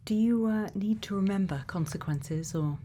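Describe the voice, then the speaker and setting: A woman doing a soft-spoken, often whispered ASMR roleplay as a caring scientist, speaking gently and without hurry in a soothing way. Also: medium pitch, normal speed. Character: sweet, caring scientist speaking to a robot friend. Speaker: ASMR performer playing a scientist. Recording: faint background noise.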